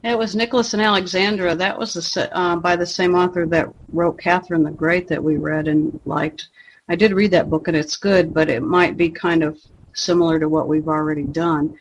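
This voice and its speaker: A man's voice: fairly high pitch, fairly even intonation, moderate pace, a little hiss or hum, rough recording. A man chatting casually as a member of a book discussion group.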